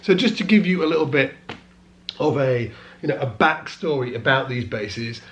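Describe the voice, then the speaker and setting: A British man who is losing his voice, chatting casually to camera about bass guitars in a vlog. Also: lively intonation, normal speed, fairly bright tone, a little hiss or hum. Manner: chatty, self-correcting, explaining as he goes. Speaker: bass teacher and vlogger.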